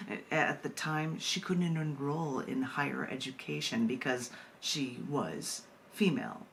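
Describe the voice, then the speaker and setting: A woman poet reading at a live open mic, animated and self-amused, with rambling, chatty asides. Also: sits low.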